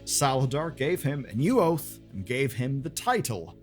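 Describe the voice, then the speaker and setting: A man presenting game lore as dramatic scripted narration, with pointed pauses and colloquial asides. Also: medium pitch, very animated, over music.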